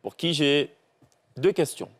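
A man's voice: a little high, fairly bright tone. A young man hosting a televised spelling quiz in a studio, teasing contestants and drawing out questions. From Côte d'Ivoire. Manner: playful, teasing, drawn-out questions. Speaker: game show host. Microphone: lapel mic.

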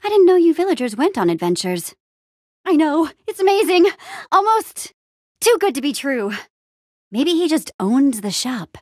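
Upbeat young game hero girl, plucky n wry, super bouncy intonation, kinda high. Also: very animated, moderate pace, few pauses, dark tone, no room sound, clean studio sound. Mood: curious. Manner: eager, wry, casual youthful phrasing. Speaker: video game voice actor. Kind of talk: animated adventure game dialogue.

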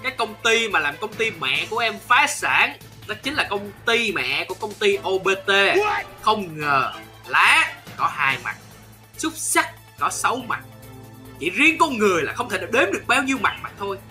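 A man voicing a proud, self-important company chairman in an animated comedy skit, dramatic in delivery, with music behind. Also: high voice, normal speed, full character voice. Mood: smug, preachy. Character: proud chairman posing as poor job seeker. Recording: background music under the voice.

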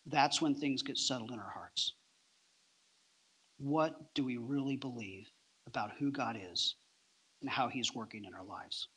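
A middle-aged man preaching a sermon on a church stage, emphatic, with deliberate pauses between short phrases. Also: medium pitch, a bit bright, slightly rough, rough recording. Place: large church auditorium, on stage.

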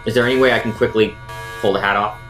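A man's voice: fairly low pitch, steady tone, fast talker, a bit bright, low-quality recording. A man playing a tabletop role-playing game, talking casually and feeling out his words with some hesitation. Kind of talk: casual improvised game talk. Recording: clear music bed behind voice.

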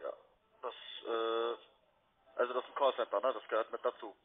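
A man's voice: medium pitch, flat delivery, normal speed. A man working as a call centre broker, talking informally and insistently on a sales phone call. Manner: insistent, probing.

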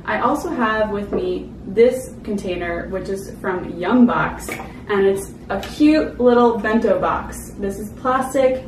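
A woman's voice: medium pitch, takes it slowly. A young adult woman giving a casual, chatty product review to camera in an informal way. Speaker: food vlogger reviewing products. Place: small indoor room, seated at a table. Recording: roomy, distant mic with clear background noise.

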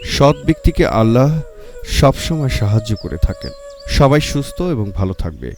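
A man's voice: mid-range voice, sing-song, moderate pace. A man narrating a scripted moral tale as a storyteller for children. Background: music.

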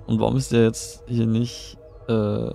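Middle-aged man, very low voice, gravelly, chatty, rambling, live gameplay talk, faint game music behind. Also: flat delivery, measured pace, warm tone. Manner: chatty, rambling, answers chat, easily puzzled. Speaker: streamer. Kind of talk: casual live gameplay commentary.

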